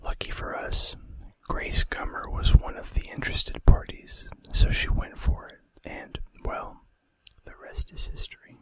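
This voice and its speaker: Man whispering softly for ASMR. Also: dry recording. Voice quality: soft, breathy, unvoiced whisper. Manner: unhurried, casual asides. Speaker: ASMR video host. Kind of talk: ASMR, reading fun facts.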